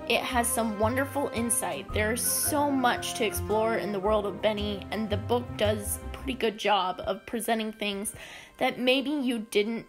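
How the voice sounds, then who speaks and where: High-voiced young woman podcast host. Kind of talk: casual interview chat.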